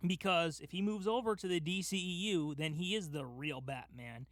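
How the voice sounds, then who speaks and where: Emphatic, higher-pitched man podcasting. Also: normal speed, dry recording. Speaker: podcast host. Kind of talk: casual fan discussion.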